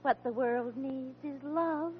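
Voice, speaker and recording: Patient sitcom wife, high voice, coaxing, soothing, old radio recording with faint noise. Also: female voice, takes it slowly, phone-quality sound. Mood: pleading.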